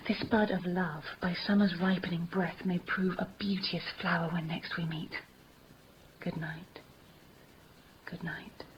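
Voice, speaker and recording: A woman's voice: lowish voice, little pitch movement, measured pace, natural voice. An actress playing an impulsive, tender young noblewoman in love, speaking theatrical Shakespearean verse in a 1950s radio play style. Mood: tender, adoring. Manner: breathy, theatrical verse delivery, often hushed. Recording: noisy, dull vintage 1950s radio broadcast.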